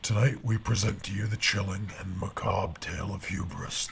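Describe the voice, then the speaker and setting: A man introducing a radio horror drama in a formal, ominous, deliberate manner, like a vintage scripted host. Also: sits low, fairly even intonation, creaky, a little hiss or hum.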